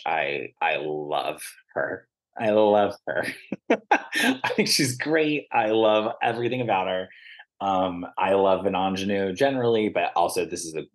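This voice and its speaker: A man co-hosting a podcast, gushing in an animated way and often trailing off mid-thought. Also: low voice. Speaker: podcast co-host. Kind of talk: casual chat about a musical.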